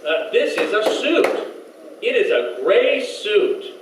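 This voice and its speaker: A man's voice: sits high, very animated, heavily acted. A man playing a simple-minded, earnestly literal children's author in an old-time radio comedy sketch, talking in stilted little primer sentences. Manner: slow, stilted delivery in short primer-like sentences. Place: community hall stage. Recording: echoey hall, distant mic, faint noise.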